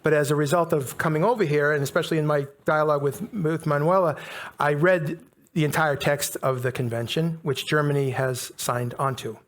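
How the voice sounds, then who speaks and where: A man's voice: fairly high pitch, steady tone, a little fast. A middle-aged North American man giving a conversational, emphatic public talk to a live audience. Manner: conversational, emphatic, repeats key words.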